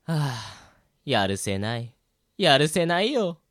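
A man's voice: on the high side, very animated, takes it slowly, a bit bright, full character voice. A man playing a harried, grumbling young cake seller, the straight man of a radio drama. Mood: weary grumbling. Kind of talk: amateur Japanese radio drama acting.

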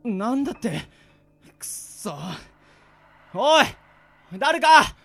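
A man playing a panicking, rough-spoken captive in a radio drama, blunt and demanding. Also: very high-pitched, big pitch swings, slow, soft background music, full character voice. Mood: panicked, shouting. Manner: blunt, commanding shouts.

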